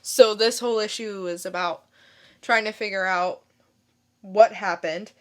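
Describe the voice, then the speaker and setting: A woman's voice: medium pitch, fairly even intonation, measured pace. A woman co-hosting a podcast, talking casually and giving informal recaps. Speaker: podcast co-host. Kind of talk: casual chat and informal review.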